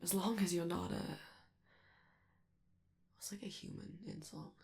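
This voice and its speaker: A woman's voice: on the low side, measured pace, gravelly, darkish tone. A woman playing a friendly, nervous robot alien crewmate in a comforting ASMR roleplay, rambling and hesitant as they reassure the listener. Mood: reassuring, earnest.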